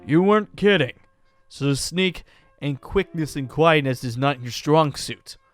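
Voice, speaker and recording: A man's voice: a little high, lively intonation, a little slow, faint music behind. A man playing a laid-back, practical gunslinger in a Minecraft roleplay, talking in a casual, matter-of-fact way. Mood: wry. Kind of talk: improvised Minecraft fantasy roleplay acting.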